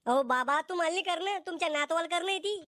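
A man acts a lovestruck college lad in a cartoon comedy, in an extremely high voice. He pleads stubbornly and defiantly with a Vidarbha Marathi accent. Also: quick pace, sharp tone, no echo, studio quality. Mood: pleading, defiant. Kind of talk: comic cartoon dialogue.

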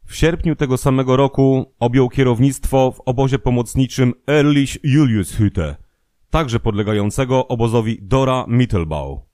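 A male narrator with a medium-pitched voice reads from a documentary script. He keeps a formal, measured delivery, recorded dry with no audible room. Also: warm tone, no echo.

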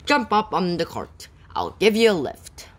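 A woman's voice: mid-range voice, huge pitch swings, unhurried. A woman reading the lines of a friendly farm boy in a hurry, in a children's storybook. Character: hurried farm boy with a cart.

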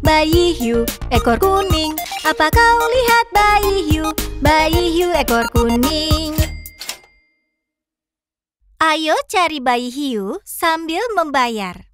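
A woman voicing a cheerful, eager young fox in a children's cartoon, animated and childlike as it addresses viewers. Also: sits high, very slow, over music, heavily acted. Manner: animated, childlike, clear instructing.

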